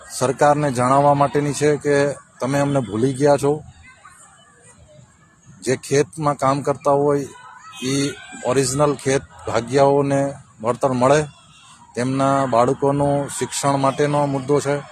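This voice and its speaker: Even-toned man making a formal appeal, noisy background. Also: mid-range voice, unhurried, long pauses. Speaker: community organizer announcing a gathering. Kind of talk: formal spoken announcement and appeal.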